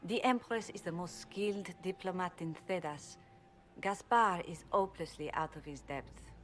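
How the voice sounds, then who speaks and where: A woman's voice: on the low side, normal speed, faint music behind, close to an everyday voice. A woman playing a poised, haughty lady-in-waiting in a fantasy game, formal and assured.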